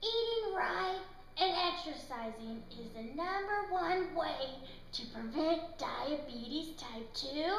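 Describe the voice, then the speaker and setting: A woman's voice: sits high, animated, slow pace, exaggerated voice. A woman voicing a cheerful little puppet in a health show, with a simple, childlike delivery. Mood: cheerful, earnest. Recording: echoey room with background noise.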